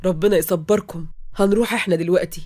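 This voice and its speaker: A woman's voice: lowish voice, fairly even intonation, fast talker, darkish tone, close to an everyday voice. A woman with an Egyptian accent playing a solemn senior police general, formal and sombre. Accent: Egyptian Arabic.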